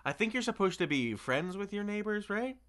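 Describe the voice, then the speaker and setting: A man's voice: high voice, very animated, a little fast, a bit bright. A man playing a friendly, awkward delivery driver in an audio roleplay, chatty and sheepish, rambling in a casual way. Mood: awkwardly friendly.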